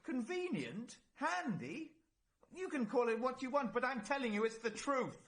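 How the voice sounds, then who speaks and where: An actor playing an anxious young murder suspect, flustered and defensive, in a vintage radio drama. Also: male voice, very high-pitched, sing-song, normal speed, fairly bright tone, heavily acted. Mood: indignant. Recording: noisy vintage radio recording.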